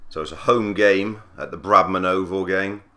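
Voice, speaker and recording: A man with a cold, husky and croaky, giving easygoing play-by-play commentary on a cricket video game. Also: low voice, steady tone, moderate pace, sharp tone. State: hoarse from a cold. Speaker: gaming channel host.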